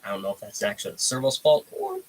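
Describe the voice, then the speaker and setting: A man's voice: mid-range voice, wildly swooping pitch, fast. A congested man hosting a casual live stream, rambling in a laid-back way and greeting viewers from the chat. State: congested, stuffy nose. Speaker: livestream host.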